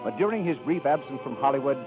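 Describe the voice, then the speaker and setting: A man's voice: medium pitch, expressive, fast talker. A man reading a scripted introduction as a 1930s radio announcer. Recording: tinny, band-limited 1930s radio broadcast, music.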